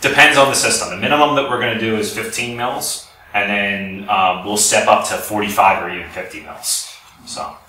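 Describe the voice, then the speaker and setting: A man's voice: fairly low pitch, normal speed, bright, forceful tone. A man giving an informal technical lecture, explaining things and often correcting himself as he goes. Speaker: company executive giving a product lecture. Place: indoor lecture room with audience. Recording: very echoey room, distant mic, faint noise.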